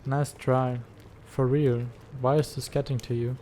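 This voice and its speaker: Man playing a mellow, sardonic teenage schoolboy Oz. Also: mid-range voice, level tone, a little slow, a little hiss or hum, natural voice. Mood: concerned, challenging. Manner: dry, sarcastic. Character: snarky, laid-back teenage schoolboy Oz, skeptical but caring. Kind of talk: fan dub comic drama acting.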